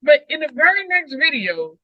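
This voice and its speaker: A woman's voice: high voice, sing-song, moderate pace, no room sound. A woman co-hosting a Bible read-along, speaking informally in casual chat and reading aloud, on a muffled home stream mic.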